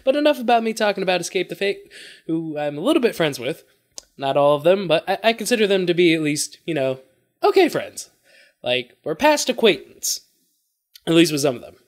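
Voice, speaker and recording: A man's voice: high-pitched, big pitch swings, fairly quick. A young man talking casually in a rambling way, as the host of a reaction video. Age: young adult. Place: small room at home.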